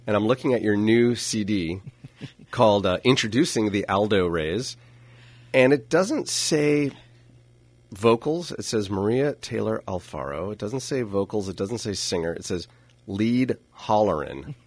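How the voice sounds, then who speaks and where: A man hosting a casual radio interview, playful and quick to laugh. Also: on the low side, lively intonation, no echo. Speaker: radio show host.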